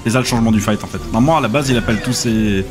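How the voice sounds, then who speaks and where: A man's voice: on the low side, racing. A young man with an Alsatian accent, tired and joking in casual let's-play commentary. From Alsace. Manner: chatty, joking, many fillers. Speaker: gaming channel host. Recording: game music faintly behind.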